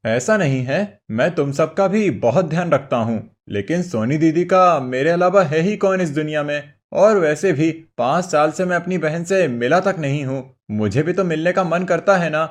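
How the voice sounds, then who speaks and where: A man's voice: a little high, normal speed, few pauses, natural voice. A man voicing a dutiful, affectionate younger brother in a cartoon story, earnest and placating. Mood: firm, earnest. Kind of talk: Hindi cartoon moral story acting.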